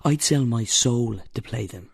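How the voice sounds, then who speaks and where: A man's voice: medium pitch, measured pace, gravelly, breathy edge. An actor playing an eager Irish fan of the pipes in a radio drama, wheedling and dreamy as he talks about the music. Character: Irish pipe fan, eager and a bit boozy.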